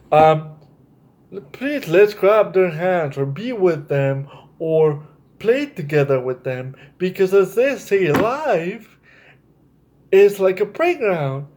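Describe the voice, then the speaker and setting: A man with a slightly high voice speaks very slowly, as if to young children. He hosts a story time, reading and explaining in an encouraging way and addressing his listeners directly. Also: darkish tone.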